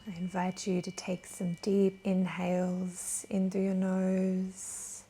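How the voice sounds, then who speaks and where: A woman leading a guided meditation in a gentle, soothing, soft voice that is breathy at times. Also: lowish voice, monotone, slight background noise. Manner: gentle, soothing, unhurried with long pauses. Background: soft chimes.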